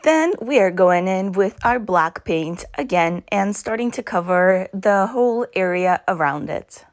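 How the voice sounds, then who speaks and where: A young woman with a raspy voice, narrating a makeup tutorial in a chatty voice-over with storytelling asides. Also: medium pitch, animated, a little slow. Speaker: makeup vlogger.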